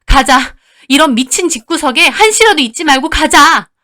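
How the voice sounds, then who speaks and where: Woman acting an outraged, high-voiced protective sister. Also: lively intonation, moderate pace. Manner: shouting, confrontational.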